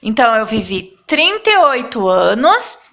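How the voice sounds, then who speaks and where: A woman in her thirties talks students through a math exercise. Her voice sits high and she takes it slowly and patiently, checking in after each step. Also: big pitch swings, sharp tone. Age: 30s. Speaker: teacher.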